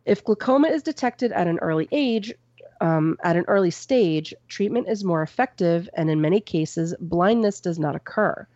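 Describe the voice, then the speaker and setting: A woman co-hosting a podcast from home on a boom-arm mic. She reads out a news story and then chats about it loosely, with plenty of "um" and "you know". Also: lively intonation, moderate pace, dark tone, no room sound, highs cut off. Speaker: podcast co-host reading news. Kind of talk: casual news chat. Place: at home with a cat nearby. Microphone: microphone on a boom arm.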